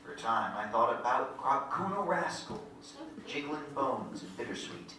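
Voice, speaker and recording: A man's voice: medium pitch, very animated, a little slow, bright, forceful tone. An actor playing an earnest country boy who narrates his memories in a lyrical, reflective, folksy way at a staged reading. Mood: wistful. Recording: echoey hall, distant mic, faint noise.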